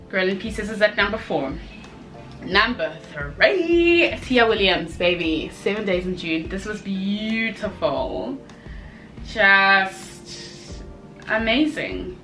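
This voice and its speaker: A young woman chatting casually in a book review video, expressive and given to emphatic repetition. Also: medium pitch.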